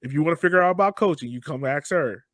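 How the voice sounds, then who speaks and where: A man's voice: on the high side, fast talker, no room sound, clean studio sound. An American man hosting a casual podcast interview in an upbeat, chatty, encouraging manner. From Richmond, Virginia, United States.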